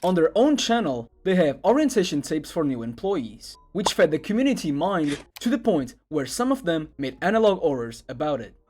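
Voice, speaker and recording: A man's voice: fairly high pitch, big pitch swings, normal speed, hardly any pauses, darkish tone. A man reading scripted narration in the style of an internet video essay. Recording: music under the voice. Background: background music.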